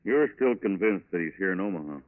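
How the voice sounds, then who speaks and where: An actor playing a steady, businesslike federal agent in a 1940s radio drama. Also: male voice, deep voice, brisk. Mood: composed. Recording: muffled old radio broadcast recording.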